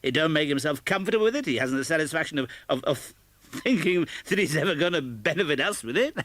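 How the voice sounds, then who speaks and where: A man reading a storybook as a jolly, hearty young Victorian gentleman, chuckling and excitable as he stumbles over his words. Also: fairly high pitch, fast, dry recording, voice pushed up. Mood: amused. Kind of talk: classic storybook audiobook character voice.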